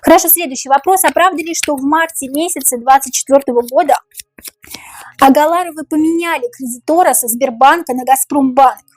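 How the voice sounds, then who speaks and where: Tarot reader, woman, high voice going hoarse, dry close sound, mulls things over aloud. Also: dry recording. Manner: repeats each question slowly, muses over the cards. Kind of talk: tarot reading to camera, thinking aloud.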